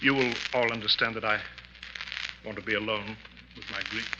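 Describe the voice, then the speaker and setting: An actor playing a grave, theatrical professor with formal, portentous diction in a 1950s radio mystery. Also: male voice, fairly low pitch, fairly even intonation, moderate pace, lightly acted. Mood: subdued. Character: grave, theatrical professor, feigning grief, spinning occult tales. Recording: muffled, noisy, crackly 1950s radio recording.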